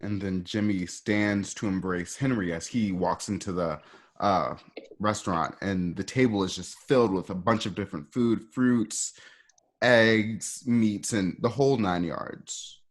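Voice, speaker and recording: A man's voice: on the low side, a little slow. A man casually narrating scene directions for a radio play, describing the action as it unfolds. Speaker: narrator reading stage directions.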